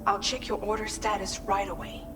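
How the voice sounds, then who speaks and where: An actress playing a composed woman operations officer in a video game, giving measured, businesslike briefings. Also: mid-range voice, level tone, lightly acted. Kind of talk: video game cutscene acting. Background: music.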